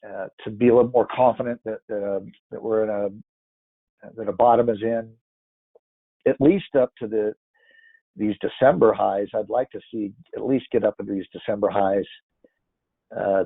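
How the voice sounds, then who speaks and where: A man's voice: medium pitch, dry recording. A man with a congested, stuffy nose giving casual market commentary, rambling and thinking aloud with lots of restarts. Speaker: market analyst. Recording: tinny phone-like dry audio.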